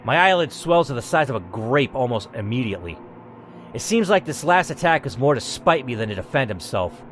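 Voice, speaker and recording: Animated man reading a story aloud. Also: mid-range voice, a little fast, slight background noise. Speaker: YouTuber reading an interactive story. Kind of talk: story reading with reactive asides.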